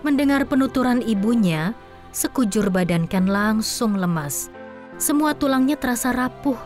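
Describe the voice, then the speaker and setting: A woman giving scripted, expressive storytelling narration for an audio drama. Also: a little high, moderate pace, soft background music.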